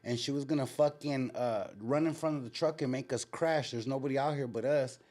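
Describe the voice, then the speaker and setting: A man hosting a casual live show, retelling stories in an animated way with street slang and frequent swearing. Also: mid-range voice, brisk, a crowd in the background. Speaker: show host. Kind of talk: casual live storytelling and chat.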